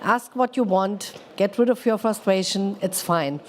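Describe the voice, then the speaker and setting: A woman moderating audience questions at a conference panel, brisk and lightly joking. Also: medium pitch, a little hiss or hum. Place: conference pavilion.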